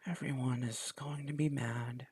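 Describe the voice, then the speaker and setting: A man's voice: mid-range voice, fairly even intonation, a little slow, darkish tone, breathy edge, no room sound. A man reading a visual novel aloud in first person, with small restarts. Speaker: let's play host.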